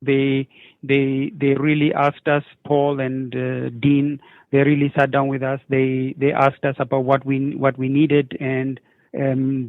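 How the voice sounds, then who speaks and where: Gravelly Ethiopian-accented man, halting delivery. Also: medium pitch, no echo, phone-quality sound. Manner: halting, repeats words and stammers on word starts. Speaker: newcomer program coordinator, interview guest. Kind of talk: unscripted interview answers.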